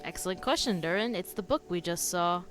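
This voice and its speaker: A woman's voice: fairly low pitch, brisk. A woman streamer giving casual play-along commentary as she reacts to a video game. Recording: game music behind the voice.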